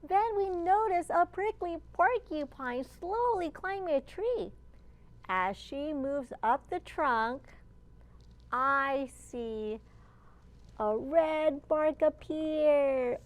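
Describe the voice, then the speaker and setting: A woman with a high voice reads a children's picture book as a storytime librarian. She takes her time, spacing phrases deliberately and turning to her young listeners with questions. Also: expressive.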